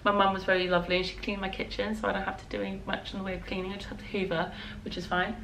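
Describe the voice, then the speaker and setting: British woman, hoarse, gravelly, casual rambling vlog chat, faint background noise. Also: medium pitch, level tone, racing. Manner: rambling, chatty. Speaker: knitting vlogger and designer.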